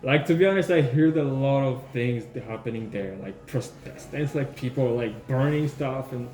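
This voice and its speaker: A man's voice: medium pitch, normal speed, a little mellow. A man from Rwanda working as a phone shop salesperson, chatting in a friendly way and agreeing often. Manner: friendly, lots of yeahs. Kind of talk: casual chat. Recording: echoey room, faint music.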